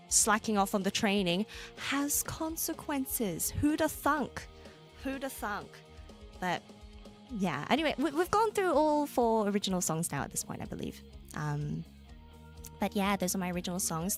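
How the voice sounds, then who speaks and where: A young Australian woman streamer chatting casually with viewers, laughing often and jumping into high squeals. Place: home room. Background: soft background music.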